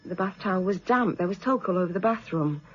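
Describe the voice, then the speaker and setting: An actress playing a shaken but sharp-tongued British woman witness in a radio drama, hesitant and then bluntly defiant. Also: medium pitch, animated, quick pace, lo-fi sound, lightly acted. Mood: hesitant, certain.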